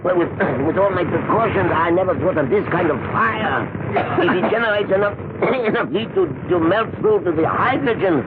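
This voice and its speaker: An actor playing a grave male doctor with a halting, solemn way of speaking in an old-time radio drama. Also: high voice, close to an everyday voice. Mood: alarmed, breathless. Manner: halting, repeating words, solemn. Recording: very noisy narrowband old radio recording.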